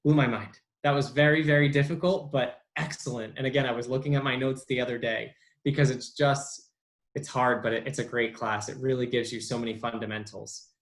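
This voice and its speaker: A man's voice: medium pitch, fairly even intonation. Hesitant man recalling his studies. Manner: halting, lots of ums and restarts. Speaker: interview guest, bilingual speech therapist. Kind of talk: casual interview.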